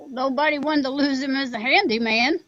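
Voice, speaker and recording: High-pitched, unhurried older mother. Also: a bit bright, no echo, muffled recording. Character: writer's mother, older woman, wry. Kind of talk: live audio drama reading.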